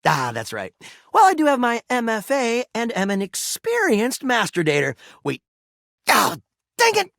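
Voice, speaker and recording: Goofy, hugely animated game developer. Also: male voice, extremely high pitch, a little slow, creaky, no echo, clean studio sound, exaggerated voice. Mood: playful. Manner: playful, slangy. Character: goofy, good-natured male voice actor and game developer. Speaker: actor and game creator character. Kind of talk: casual video game voice acting.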